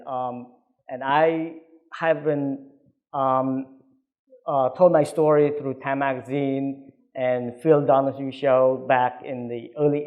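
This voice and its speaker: A man in his fifties with a Cambodian accent, a native Khmer speaker, giving a public talk as an author in a halting, earnest way. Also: mid-range voice, darkish tone. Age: 50s. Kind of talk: public talk about own book.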